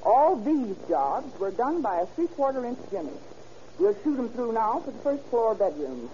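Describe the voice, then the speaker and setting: An American actress playing a brisk, confident police records clerk in an old-time radio drama, explaining things with assurance. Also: female voice, fairly high pitch, very animated, mellow, highs cut off, slight background noise.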